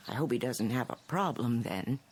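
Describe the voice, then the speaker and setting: An actress playing a worried, suspicious small-town American neighbor in a radio drama, with a gravelly, husky voice. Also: female voice, a bass voice, expressive, normal speed, a little mellow, full character voice. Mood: uneasy, doubtful.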